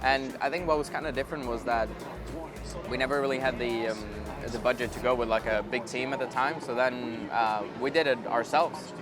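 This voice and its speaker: A man's voice: medium pitch, brisk, music in the background. Easygoing young man. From Sweden. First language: Swedish. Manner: relaxed, easygoing, frequent ums and yeahs. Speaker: racing driver guest. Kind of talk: casual interview chat.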